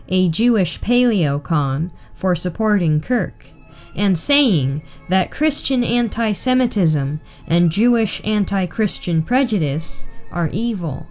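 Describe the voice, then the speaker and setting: Slow-paced woman reading aloud. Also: medium pitch, darkish tone. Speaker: reader. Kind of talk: scripted reading of a political article aloud.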